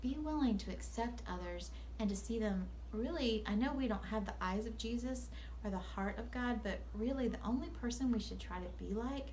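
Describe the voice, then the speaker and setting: A woman's voice: a little high, normal speed, darkish tone, poor audio. Woman teacher at story time, faint noise. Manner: earnest, instructive. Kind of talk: children's story time, reading and explaining.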